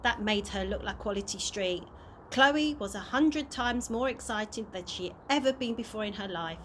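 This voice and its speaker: A woman reading a children's chapter book aloud as an expressive storyteller. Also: mid-range voice, moderate pace. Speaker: early years practitioner reading a story. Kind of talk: children's audiobook read aloud. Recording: noisy amateur home recording.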